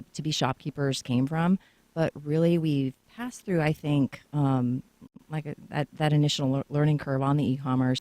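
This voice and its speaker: A woman's voice: rumbling low, warm tone, no room sound. A woman sits in a radio studio explaining her business with long, flowing answers. Her voice is captured close and bone-dry, with no room sound at all. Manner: talkative, lengthy storytelling answers. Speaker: co-founder guest.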